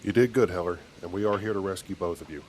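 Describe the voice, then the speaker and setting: Male actor voicing commanding space captain, deep voice, measured, dry, authoritative, video game dialogue, background noise. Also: darkish tone, lightly acted. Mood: resolute. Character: steady, commanding male starship captain, dryly skeptical, protective.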